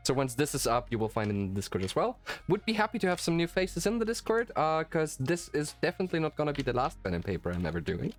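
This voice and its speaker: A man's voice: fairly high pitch, very animated, quick pace, few pauses. A man who is a native German speaker chats casually as game master and host after a game, talking in a rambling, enthusiastic way. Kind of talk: casual post-game chat. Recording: faint music behind the voice. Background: faint background music.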